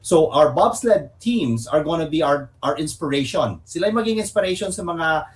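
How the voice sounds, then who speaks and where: Man, Filipino accent, slightly high voice, casual interview talk, halting, repetitive phrasing. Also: animated. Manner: halting, repetitive, frequent "you know" and "right?". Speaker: guest, bobsled team organiser.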